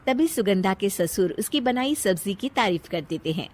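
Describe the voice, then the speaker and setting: A woman's voice: mid-range voice, lively intonation, moderate pace, darkish tone, a little hiss or hum. A woman narrating a scripted story, playfully addressing her listeners.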